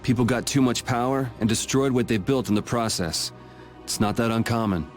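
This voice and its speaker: A man's voice: medium pitch, steady tone, normal speed, faint music behind, lightly acted. An actor voicing a resolute, casual young male leader in a video game, dry and firm.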